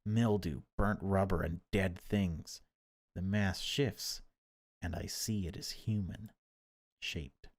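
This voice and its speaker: A man reading horror game text aloud as dramatic narration, in deliberate, broken phrases. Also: deep voice, steady tone, darkish tone, no echo. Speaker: gaming video creator reading a visual novel aloud.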